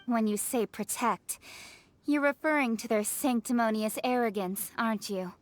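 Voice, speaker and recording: A woman voicing a proud, candid young official in a video game, speaking briskly and formally with self-assurance. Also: on the high side, close to an everyday voice. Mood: skeptical, blunt. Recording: music behind the voice.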